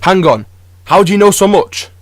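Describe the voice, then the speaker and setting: A man's voice: high voice, animated, normal speed, no echo, clean studio sound. A man playing an earnest, loyal, emotional companion in an audio drama, speaking plainly. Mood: anxious. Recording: clearly noisy, traffic-like background.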